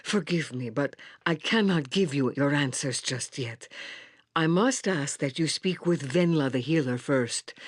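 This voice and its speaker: Slow, husky old village elder. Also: female voice, extremely low pitch, no room sound, studio quality, heavily acted. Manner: slow, solemn, halting, courteous. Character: grave, kindly old female village elder and magic teacher. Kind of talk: fantasy video game voice acting.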